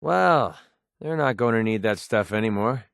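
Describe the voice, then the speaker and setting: An actor playing a cynical, wisecracking young mercenary in a video game, with a sardonic, casual, streetwise delivery. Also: male voice, lowish voice, animated, moderate pace, creaky, a bit bright, no echo, clean studio sound. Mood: wry. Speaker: video game companion character. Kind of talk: video game voice acting, short scripted barks.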